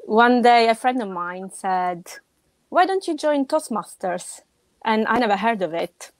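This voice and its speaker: A woman from Italy with a strong Italian accent, telling her story as a podcast guest in a lively, emphatic way. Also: on the high side, measured pace, dry recording. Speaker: podcast guest, web designer. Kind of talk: casual interview talk.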